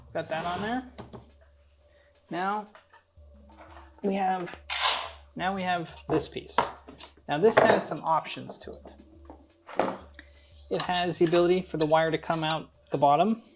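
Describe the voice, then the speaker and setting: A man's voice: high voice, slow, pauses often, very noisy. A man hosting a hands-on build tutorial, talking informally through each step as he works. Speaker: host of a DIY build tutorial. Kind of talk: casual hands-on instructional narration.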